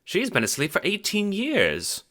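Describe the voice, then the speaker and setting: A man's voice: sits high, huge pitch swings, measured pace, sharp tone, voice pushed up, exaggerated voice. A man voicing a fussy, motherly small alien woman with prim, emphatic, old-fashioned manners in an audio drama. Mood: motherly concern. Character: fussy, motherly small female carapacian attendant, bossy. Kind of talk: audio drama character acting.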